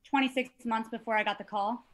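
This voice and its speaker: An actress plays an earnest rookie policewoman with a slightly high voice. She answers briefly and plainly, eager and questioning, in an action comedy table read. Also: steady tone, brisk, lightly acted.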